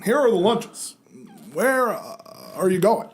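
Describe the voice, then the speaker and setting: A beleaguered henchman dad in a comedy comic reading, played by a man. He is sheepish and defensive, offering halting excuses with a lively, varied pitch. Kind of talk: amateur comic dramatic reading.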